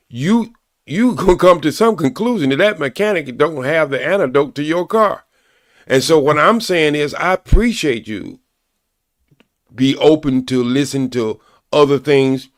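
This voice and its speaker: Long-winded man, low and dry. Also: no echo. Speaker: caller on a live panel. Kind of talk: casual live-stream debate.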